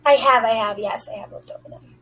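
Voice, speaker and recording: A woman's voice: on the high side, fast, fairly bright tone, a little hiss or hum. A young woman giving polite, agreeable answers in a conversational phone interview, with informal phrasing. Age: young adult. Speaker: job applicant being interviewed.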